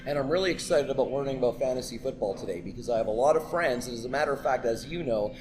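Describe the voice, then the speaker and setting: A man's voice: medium pitch, rapid-fire, crowd noise. A man from Canada co-hosting a casual sports chat in a pub, with a scratchy throat and an enthusiastic, chatty, promotional way of talking. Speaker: co-host and karaoke host.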